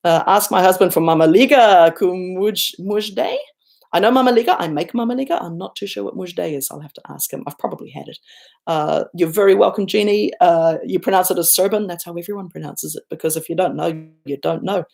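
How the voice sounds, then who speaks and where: A middle-aged New Zealand woman talking casually to her viewers in a livestream from home, reading comments aloud and answering as she goes. Her voice sits fairly low and has a gravelly, fried edge. She is chatty and self-deprecating. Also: fairly quick, darkish tone. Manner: chatty and self-deprecating, bursts up high when thanking viewers. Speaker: YouTuber hosting a livestream Q&A. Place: home, looking out over a garden and lake.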